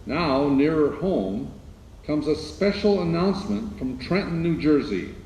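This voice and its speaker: A sober newsreader played by a man delivers formal radio drama bulletins with animated intonation. The sound rings with long reverb over faint noise. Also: on the high side. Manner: formal, measured announcing. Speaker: newsroom announcer. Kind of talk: old-time radio news bulletin.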